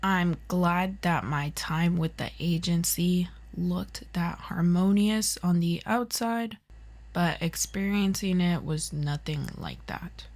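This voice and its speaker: Casting: woman as reserved, resentful former superhero, deliberate and accusing, for audio roleplay. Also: fairly low pitch, a little mellow. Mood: bitter, restrained. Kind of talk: ASMR roleplay acting.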